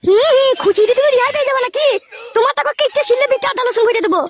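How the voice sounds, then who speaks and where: Piercingly high, indignant cartoon mother-in-law. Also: rapid-fire, very noisy. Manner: shrill, reproachful. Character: stern, indignant mother-in-law siding with her daughter. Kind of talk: comic cartoon dialogue.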